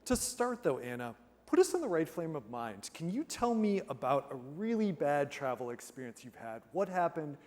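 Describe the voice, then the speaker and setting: A man's voice: on the high side, sing-song, normal speed, a little mellow. A man moderating an onstage interview with upbeat, conversational questions. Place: conference stage. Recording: reverberant conference hall.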